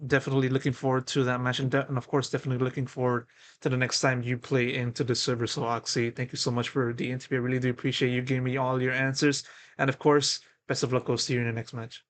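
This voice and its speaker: Man interviewer, wordy questions, lots of ums and uhs, medium pitch. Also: little pitch movement, fast talker. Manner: wordy, filler-heavy questions.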